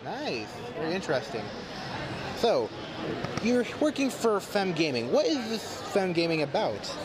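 A man's voice: high voice, big pitch swings, unhurried. A man hosting an interview at an event, upbeat and chatty. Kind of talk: convention interview. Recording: crowd noise behind.

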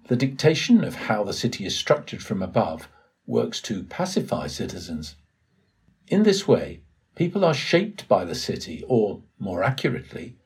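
A man reading a written essay aloud as scripted narration, in a formal, measured way. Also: lowish voice, a little mellow, husky. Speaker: volunteer reader of an audio blog post.

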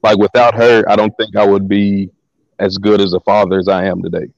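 Guest dad, deep voice, laid-back slangy storyteller, dull dry line. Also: male voice, brisk, creaky, no room sound. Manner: relaxed, slangy, repeats words as he talks. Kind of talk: casual storytelling interview.

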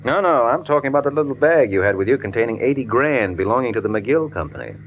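An actor playing a cool, sardonic hard-boiled American detective in 1940s radio style. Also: male voice, mid-range voice, animated, quick pace, muffled recording, natural voice. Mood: wry. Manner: sardonic, laconic, teasing. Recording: noisy old radio drama recording.

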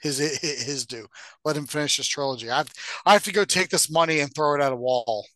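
A man with a fairly high, sharp and bright voice chats casually as a podcast co-host. He banters in a joking, sardonic way. The recording is dry and close. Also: a little fast, no echo. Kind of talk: casual bantering chat about games and films.

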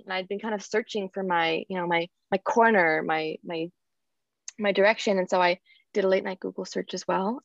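A woman from Poland, a songwriter, speaks over a video call, warmly enthusiastic as she rambles through her story. Her voice has a creaky, vocal-fry edge. The call audio sounds dry and dull. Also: mid-range voice, no room sound. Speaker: guest, songwriter. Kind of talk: casual interview talk over a video call.

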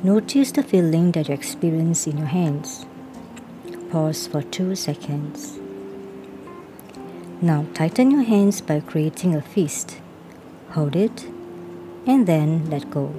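A woman reading a guided body-scan relaxation exercise aloud. She gives calm, even instructions and reads out each pause cue. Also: low voice. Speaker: reader of a self-help workbook. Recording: voice laid over music. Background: clear background music.

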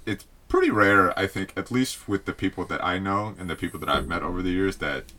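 Deep, bright-toned young man. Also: very animated, brisk. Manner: chatty, laid-back, slangy. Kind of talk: casual chat.

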